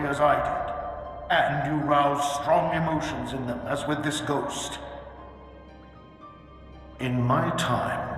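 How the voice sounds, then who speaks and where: An actor voicing a stern, ancient Sith ancestor ghost in a video game, grave and imperious, with archaic phrasing. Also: male voice, medium pitch, fairly bright tone, close to an everyday voice. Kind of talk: dramatic video game acting. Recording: heavy echoing reverb over music.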